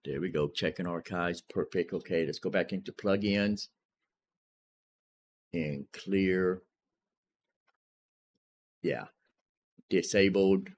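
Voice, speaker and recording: A man casually commentating as a gaming streamer, thinking aloud and informally talking through each step. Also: low-pitched. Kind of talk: casual gameplay and modding commentary. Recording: clearly noisy background.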